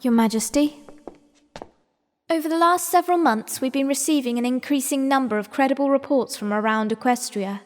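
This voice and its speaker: A woman's voice: high-pitched, fairly quick, in a large hall, lightly acted. A woman playing a dutiful, sincere female pegasus security officer in a fan radio drama. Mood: formal, concerned.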